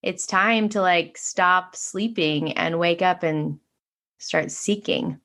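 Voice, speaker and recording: Woman, fairly low voice, earnest, flowing, casual spiritual talk. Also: takes it slowly, fairly bright tone. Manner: earnest, flowing, many "like" fillers. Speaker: podcast guest, spiritual teacher.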